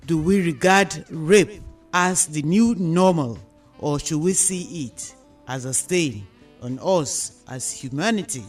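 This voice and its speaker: A woman with a Nigerian accent hosting a radio discussion programme, phrasing her questions and points deliberately and emphatically. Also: low voice, a little mellow, faint music behind.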